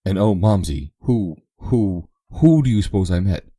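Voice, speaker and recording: A man's voice: low voice, animated, dark tone, breathy edge, no echo, pitched above the performer's own voice, heavily acted. A man putting on a gushing, giddy young woman. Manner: breathless, rapid exclamations.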